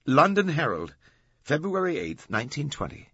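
A man's voice: mid-range voice, very animated, a little fast, sharp tone, breathy edge, dry recording, highs cut off, voice pushed up, full character voice. A man voicing a smug, insistent male tabloid reporter in an audiobook. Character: cocky, eager male tabloid news reporter.

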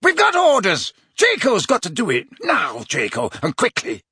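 A man plays a brutal, violent henchman who barks his words sharply, pitched well above the reader's own voice. The sound is husky and dry. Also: huge pitch swings, normal speed, no echo, exaggerated voice. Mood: urgent. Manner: sharp, shouting. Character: brutal heavy-faced male henchman, loud and violent.

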